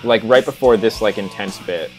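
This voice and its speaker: A man's voice: lowish voice, normal speed, low-quality recording. A young man co-hosting a casual video-call chat, speaking loosely with lots of filler "like". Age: young adult. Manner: loose speech heavy with "like". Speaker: co-host of a track review stream. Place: home room on a group video call. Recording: music playing behind the voice. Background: plucked guitar music.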